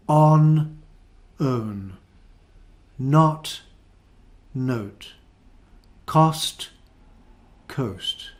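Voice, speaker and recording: Slow, careful Scottish teacher. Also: male voice, mid-range voice, a little mellow. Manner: slow, careful, drawn-out model words. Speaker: English teacher. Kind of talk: pronunciation lesson.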